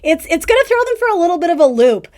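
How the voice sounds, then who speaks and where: A woman's voice: very high voice, big pitch swings, rapid-fire, darkish tone. A woman hosting a casual tarot reading, talking directly to the listener with encouraging, conversational advice. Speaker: tarot reader and video host.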